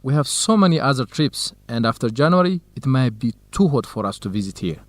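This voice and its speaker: An actor playing a kind, helpful young student researcher, speaking carefully and formally in a radio drama for English learners. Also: male voice, mid-range voice, very animated, fairly quick, no room sound, natural voice. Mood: teasing, thoughtful. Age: young adult.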